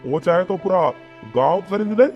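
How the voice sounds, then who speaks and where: A man's voice: high voice, animated, normal speed, dull audio, faint music behind. Man acting grumbling, blustering cartoon village headman. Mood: bitter. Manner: scolding, exasperated, blustering. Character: grumbling, short-tempered disgraced male village headman. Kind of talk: Hindi comic cartoon dialogue.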